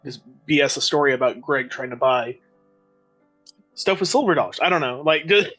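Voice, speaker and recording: A man's voice: medium pitch, expressive, moderate pace, a bit bright, soft background music. A man co-hosting a casual livestream, telling rambling stories full of hesitations. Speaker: podcast co-host.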